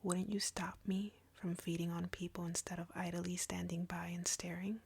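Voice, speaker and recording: Woman acting a whispering, husky, taunting vampire seductress. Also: fairly low pitch, flat delivery, normal speed, darkish tone, dry recording, full character voice. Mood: smug, accusing. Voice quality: breathy, husky. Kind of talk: intimate scripted audio roleplay addressed to the listener.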